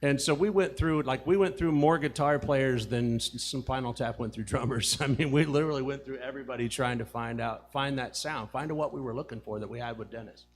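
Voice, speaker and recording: A man's voice: mid-range voice, fairly quick, few pauses. A man from a band chatting on a live show, telling a rambling story about his group. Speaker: band member. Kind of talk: casual chat on a live music show.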